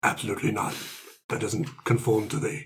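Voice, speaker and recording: A man's voice: lowish voice, little pitch movement, brisk, a little hiss or hum, lightly acted. A man playing a proud, stubborn adventurer with a curt, haughty, pedantic manner in a tabletop roleplay.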